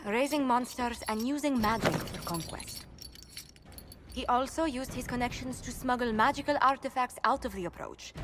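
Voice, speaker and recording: An actress playing an official advisor in a game, formal and precise. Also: female voice, a little high, slight background noise, lightly acted. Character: crisp, official female advisor announcing charges. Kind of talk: dramatic game acting.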